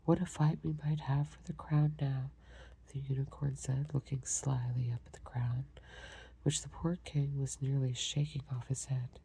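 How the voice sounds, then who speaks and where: A woman reading a storybook aloud in a soft, breathy whisper. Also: very deep voice, little pitch movement, moderate pace. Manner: soft unvoiced whisper throughout. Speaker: storybook reader.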